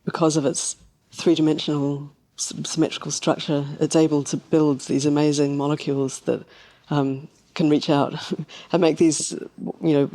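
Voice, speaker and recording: A woman talks through scientific ideas as an interview guest. Her intonation stays fairly flat, and she sounds reflective, feeling her way tentatively through each thought. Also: sits low. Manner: reflective, tentative, thinking aloud. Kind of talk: conversational science discussion.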